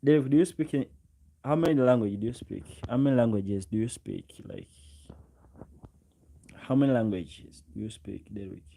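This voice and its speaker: A man's voice: medium pitch, very animated, moderate pace, vocal fry, pauses often, dark tone. A man in his twenties with a Ugandan accent, chatting casually on a livestream, speaking directly to viewers and often repeating his phrases. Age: 20s. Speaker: live streamer. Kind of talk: casual livestream chat, reading and answering comments.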